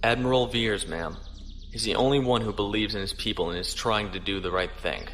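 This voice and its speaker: An actor playing an earnest, dutiful young starship captain in a science fiction audio drama, with polite military formality. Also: male voice, lowish voice, fairly quick, fairly bright tone, slight background noise, close to an everyday voice. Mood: respectful, earnest.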